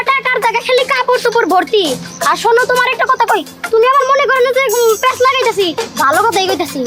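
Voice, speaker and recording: A woman voicing a meddling, indignant village aunt in a cartoon drama, complaining and insinuating as she chatters. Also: very high-pitched.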